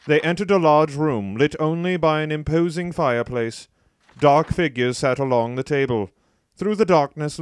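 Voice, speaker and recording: A man with a mid-pitched voice narrates a comic story. His delivery is deadpan and scripted, recorded close and very dry. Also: no echo.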